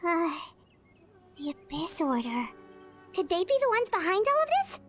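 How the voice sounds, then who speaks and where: A woman voicing a small, childlike female fairy companion in a video game, squeaky, curious and excitable. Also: normal speed, soft background music, full character voice. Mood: pondering, uneasy. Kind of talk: video game character dialogue.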